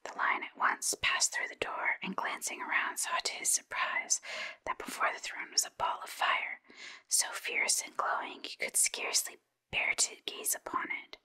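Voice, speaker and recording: A woman reading a children's story in a breathy, unvoiced whisper, as scripted ASMR-style narration. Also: moderate pace, sharp tone. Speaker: storybook reader.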